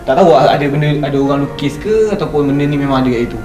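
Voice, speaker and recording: A man's voice: a little high, rapid-fire, warm tone. Young Malaysian man in a roomy space. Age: young adult. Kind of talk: casual ghost-story chat. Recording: roomy, distant mic, faint music.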